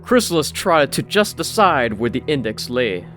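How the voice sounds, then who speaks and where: A man's voice: medium pitch, big pitch swings, moderate pace, a bit bright. A man narrating a scripted fantasy story in the manner of an audiobook. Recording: music behind the voice. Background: background music.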